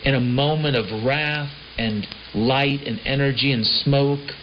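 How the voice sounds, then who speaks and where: A man's voice: mid-range voice, measured pace. A man delivering a rambling, improvised comic monologue as a radio host, with a theatrical, mock-prophetic storytelling manner. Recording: dull lo-fi shortwave radio recording. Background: prominent piano and keyboard music.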